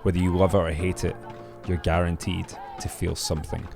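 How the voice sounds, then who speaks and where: A man with a fairly low voice hosting a podcast. He presents and chats in an easy spoken style, with faint music around the recording. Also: level tone, brisk, vocal fry. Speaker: podcast host. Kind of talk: scripted intro and casual interview chat.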